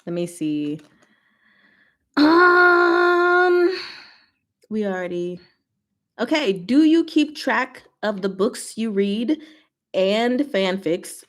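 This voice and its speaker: A woman's voice: mid-range voice, fairly bright tone. A woman hosting a livestream, chatting informally and giggly as she reads and answers viewer comments. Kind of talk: casual livestream chat, reading comments aloud.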